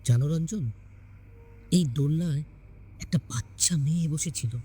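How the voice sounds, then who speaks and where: A man's voice: on the high side, measured pace, mellow, breathy edge. A man voicing an affectionate, sentimental young wife in a narrated horror story. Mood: frightened.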